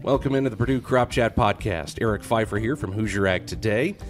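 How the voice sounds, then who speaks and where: A man with a hoarse voice, hosting a casual farm podcast and joking with his guests. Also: on the low side, brisk, over music. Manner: joking, loose, self-deprecating. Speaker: podcast host.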